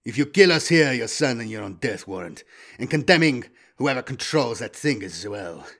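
A tough male bounty hunter in an audiobook, as a man narrator plays him. He is sardonic and taunting, with a deliberate, threatening delivery at a middling pitch. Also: very animated, creaky, fairly bright tone, exaggerated voice. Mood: menacing. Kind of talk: audiobook character voice.

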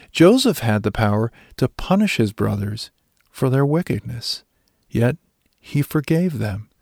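Male devotional speaker, gravelly mid-pitched voice, scripted reflection, dry recording. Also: no echo.

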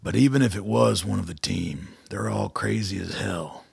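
Very gravelly, gruff army colonel. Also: male voice, deep voice, moderate pace, a little mellow, no echo, clean studio sound, heavily acted. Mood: terse, wary. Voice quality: gravelly, husky. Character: gruff, blunt army colonel, weary and suspicious. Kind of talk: radio drama dialogue.

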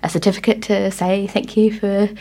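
A woman co-hosting a community radio show, explaining a story in a relaxed, casual way. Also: mid-range voice, normal speed. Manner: relaxed, explanatory, trailing off with "and stuff". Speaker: co-host.

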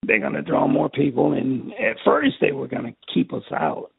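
An older man from Texas with a raspy, strained voice, telling his life story in an interview in a halting way, repeating words. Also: on the high side, sing-song, no echo. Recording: tinny phone line.

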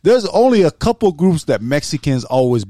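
A man's voice: a little high, big pitch swings, normal speed, warm tone, no echo, clean studio sound. A man from Utah hosting a podcast in casual, joking banter with his co-hosts. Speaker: podcast host. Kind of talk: casual chat.

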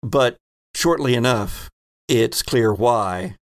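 A man acting as game master, narrating a tabletop role-playing scene in a halting, deliberate way. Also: mid-range voice.

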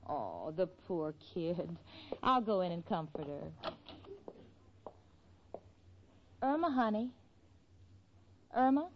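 An actress playing a sensible, wry, protective young roommate in a 1940s radio sitcom. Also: female voice, mid-range voice. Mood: worried. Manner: expressive, wisecracking. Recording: dull, noisy vintage 1940s radio.

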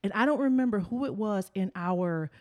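A woman's voice: medium pitch, animated, normal speed, darkish tone. A woman hosting a conversational panel, her voice sitting in the middle of the female range. She explains ideas plainly and turns questions over to her guests. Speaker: podcast host.

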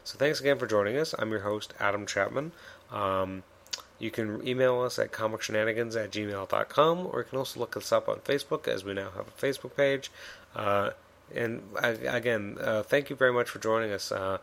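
Male podcast host, low gravelly voice a bit worn, loose rambling delivery. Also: fairly quick, fairly bright tone. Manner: rambling, many fillers and restarts. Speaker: podcast host reviewing comics. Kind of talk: casual solo podcast review.

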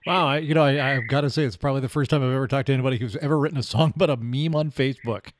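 A man's voice: medium pitch, rapid-fire, no echo, studio quality. A man interviewing a guest in a conversational style, as a podcast host.

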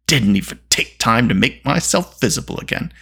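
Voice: medium pitch, animated, moderate pace, vocal fry, fairly bright tone, husky, exaggerated voice. A storyteller voicing an irascible little male dwarf, grumbling and snapping in exasperation.

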